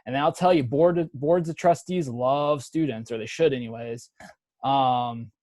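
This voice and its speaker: A relaxed man talks casually over a video call, encouraging his listeners with plenty of ums and uhs. His voice is dry and close, with no room sound. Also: medium pitch, a little slow, no echo. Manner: relaxed, filler-heavy, encouraging. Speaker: professor and webinar presenter. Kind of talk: casual webinar talk.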